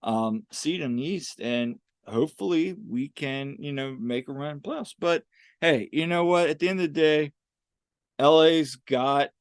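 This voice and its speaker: A man's voice: fairly high pitch, measured pace, smooth, no echo, clean studio sound. Casual rambling man co-hosting a podcast. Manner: rambling, many restarts and fillers. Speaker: podcast co-host. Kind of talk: casual sports podcast chat.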